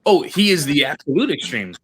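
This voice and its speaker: A man co-hosting a casual podcast chat, talking in an animated, emphatic way. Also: a little high, a little fast, husky. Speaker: podcast co-host.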